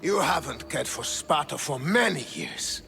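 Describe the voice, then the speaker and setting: A man's voice: a little high, expressive, unhurried, gravelly, pushed and bright, full character voice. A man acting a haughty, scornful Spartan king in a video game, commanding and sardonic, with a declamatory delivery. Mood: indignant. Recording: reverberant stone hall, music underneath.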